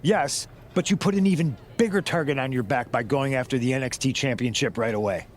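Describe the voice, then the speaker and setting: A man's voice: medium pitch, fairly quick, slight background noise, natural voice. A man voicing a calculating wrestler who leads a rebel faction, firm and persuasive in video game cutscene dialogue. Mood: firm, reproving.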